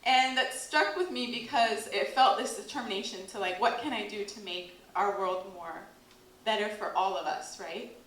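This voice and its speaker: A woman's voice: medium pitch, moderate pace, a bit bright. An American woman in her late thirties gives a casual talk to a workshop audience, chatty and full of "like" fillers, laughing often. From United States. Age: 30s. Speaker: workshop speaker. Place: event hall with an audience. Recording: echoey hall.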